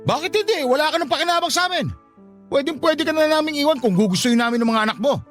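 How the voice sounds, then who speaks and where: A scornful, irresponsible Filipino husband in a radio drama. He talks quickly in a lively, swinging high voice, brushing off everything with impatience. Faint music sits behind him. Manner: dismissive, sneering, impatient.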